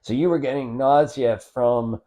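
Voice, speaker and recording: A man's voice: medium pitch, fairly even intonation, measured pace, mellow, lo-fi sound. A man hosting a casual conversation, steering the talk, summarizing and using lots of fillers. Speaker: host.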